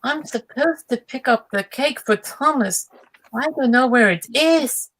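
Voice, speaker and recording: A man's voice: very high-pitched, measured pace, a bit bright, pitched above the performer's own voice, heavily acted, low-quality recording. A man voicing an eager male steam engine character in a children's storybook. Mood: eager, earnest.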